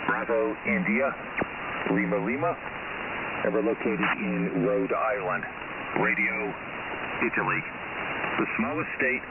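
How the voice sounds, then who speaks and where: An American man working as an amateur radio operator, making halting, polite, deliberate contact calls over shortwave and spelling out callsigns. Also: lowish voice, vocal fry. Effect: shortwave radio transmission. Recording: narrow tinny radio audio, loud static hiss.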